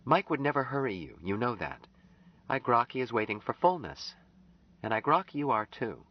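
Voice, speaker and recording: An earnest young woman, devoted and gently insistent, pitched well above the male narrator's own low voice. A man reads her in an audiobook, and her tone swings up and down. The audio is a little dull. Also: big pitch swings, moderate pace. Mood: pleading.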